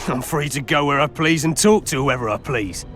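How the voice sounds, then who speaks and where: An actor playing a defiant, foul-mouthed common thug in a video game, gravelly, brash and insulting. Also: male voice, a little high, moderate pace, fairly bright tone, soft background music, natural voice. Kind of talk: video game cutscene dialogue.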